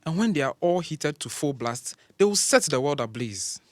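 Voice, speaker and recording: Actor as a pompous, grandiose professor with swooping pitch. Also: male voice, lively intonation, moderate pace, no echo, lightly acted. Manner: grandiose, condescending. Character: pompous, arrogant older male surgeon professor and father. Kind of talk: audio drama acting.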